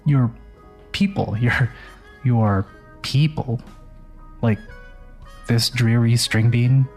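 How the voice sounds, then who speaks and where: Haughty, slow-talking crime family emissary. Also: male voice, medium pitch, a little mellow. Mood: cold menace. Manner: condescending, sardonic, deliberate. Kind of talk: audio fiction acting. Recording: music under the voice. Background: music.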